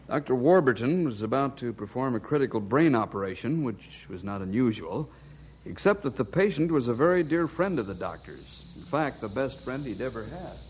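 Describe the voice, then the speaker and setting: An earnest, knowing ranger in an old radio drama. His voice is husky and mid-pitched as he tells a tale, on a muffled vintage recording. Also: a little mellow. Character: knowing, earnest ranger telling a sad backstory. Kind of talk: old-time radio storytelling.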